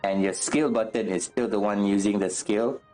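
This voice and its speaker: Guy with a lowish voice streaming a game, keeps searching for words and repeating himself, bit exasperated, faint music behind. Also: steady tone, normal speed, a little mellow, rough recording. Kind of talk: casual livestream chat while gaming.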